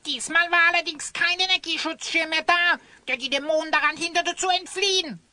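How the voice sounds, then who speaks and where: A woman's voice: very high-pitched, fairly even intonation, fairly quick, pushed and bright, no echo, heavily acted. A woman playing a cheeky, mocking little creature sidekick in an audio drama. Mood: eager explaining. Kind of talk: German audio drama acting.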